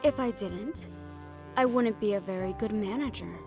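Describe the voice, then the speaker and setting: A woman's voice: medium pitch, normal speed, music in the background, natural voice. A woman playing a poised, worldly hotel manager in an assassin underworld audio roleplay, speaking in a composed, gracious and knowing manner. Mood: businesslike, knowing. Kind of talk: roleplay audio drama acting.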